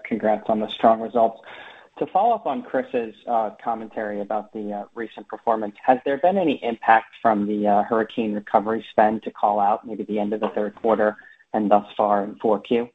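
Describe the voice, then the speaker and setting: Husky male analyst calling by phone. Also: lowish voice, a little fast. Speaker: financial analyst caller. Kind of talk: polite earnings call question. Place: phone call. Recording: muffled telephone line.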